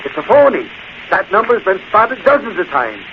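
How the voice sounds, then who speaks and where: An actor playing a brash, fast-talking newspaper reporter in 1940s radio acting style. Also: male voice, sits high, wildly swooping pitch, phone-quality sound, exaggerated voice. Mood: indignant. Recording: hissy 1940s radio recording.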